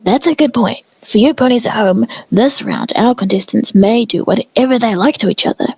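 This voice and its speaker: Woman as a husky cartoon pony sports announcer. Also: fairly high pitch, moderate pace, darkish tone, poor audio. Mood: chatty commentary. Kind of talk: sports commentary parody.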